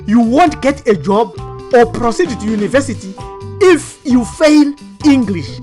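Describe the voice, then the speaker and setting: A woman with a fairly high voice lectures like a preacher, stacking one rhetorical question on another as she talks through pages on screen. Soft music plays faintly underneath her voice. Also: expressive, unhurried. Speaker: online teacher and commentator. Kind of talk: commentary over web pages.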